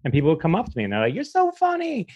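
A man's voice: on the high side, racing, dry recording, highs cut off. A man chatting casually as a comedian guest on a podcast, telling stories in a self-deprecating way and acting out the quotes. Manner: self-deprecating, animated retelling with acted quotes. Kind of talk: casual comic interview chat.

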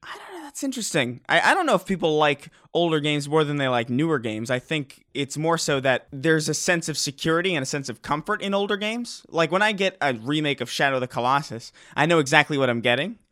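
A young man co-hosting a podcast in casual chat, talking excitably and restarting his sentences often. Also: fairly high pitch, quick pace, hardly any pauses. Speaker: podcast co-host.

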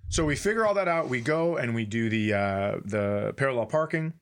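A man's voice: mid-range voice, lively intonation, normal speed, smooth. American man telling stories casually. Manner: profane, wry, acts out dialogue. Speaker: podcast co-host. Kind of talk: casual comedic storytelling.

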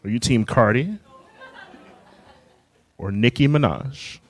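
A man co-hosting a podcast, teasing with quick jokes in comedic banter. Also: mid-range voice, a faint crowd. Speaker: podcast co-host.

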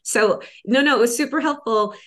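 A woman's voice: a little high, normal speed, fairly bright tone. Rambling enthusiastic woman giving feedback. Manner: rambling, filler-heavy, enthusiastic. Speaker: host and pitch advisor. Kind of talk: casual feedback chat.

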